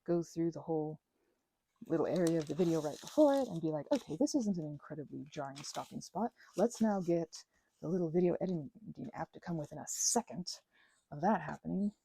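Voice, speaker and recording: A woman's voice: deep voice, lively intonation, fairly quick, vocal fry, dark tone, slightly rough, poor audio. A woman in a casual, rambling craft ASMR chat, self-deprecating and playfully sarcastic, often dropping toward a whisper and wandering off on tangents as she talks to herself. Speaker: channel host.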